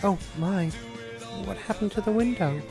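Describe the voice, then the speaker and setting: A man voicing a gentle, kindly, timid female cartoon pony, sweet and polite. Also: high voice, moderate pace, over music, pitched above the performer's own voice, natural voice. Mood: concerned.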